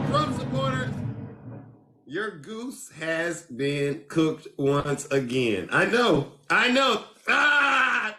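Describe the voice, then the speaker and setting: A man hosting a live call-in show, theatrical, with a booming announcer style. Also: pushed and bright. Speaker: show host.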